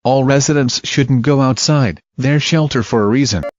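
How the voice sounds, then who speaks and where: A dull, lo-fi recording with very loud noise carries a man's voice of average pitch. He reads a scripted emergency alert as an official announcer would. The delivery is formal, set out as warnings and notices to the public. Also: normal speed.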